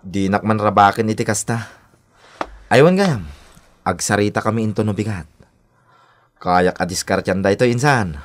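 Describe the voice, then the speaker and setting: A man with an Ilocano accent acting a brash, smooth-talking young cousin and suitor in a radio drama. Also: on the low side, normal speed, pauses often, close to an everyday voice. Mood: hurt, reproachful.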